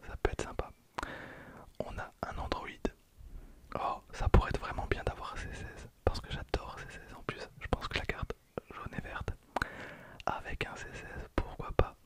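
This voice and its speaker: Whispering man, close-up ASMR. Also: normal speed. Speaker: ASMR video creator opening trading cards. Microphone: close handheld stereo recorder.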